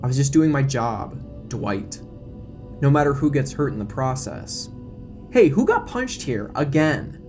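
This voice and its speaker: A man's voice: medium pitch, very animated, a little slow, a little mellow. A man voicing a pushy, brazen female TV reporter in an audio drama. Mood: defiant. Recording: music bed behind the voice.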